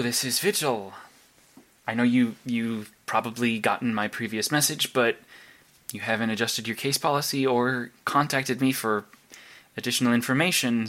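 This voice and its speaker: An earnest actor's take on a male superhero detective from an audio drama. He speaks at a middle pitch in a halting, analytical manner, with nervous conviction. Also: a bit bright, lightly acted. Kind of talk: naturalistic audio drama monologue and phone calls.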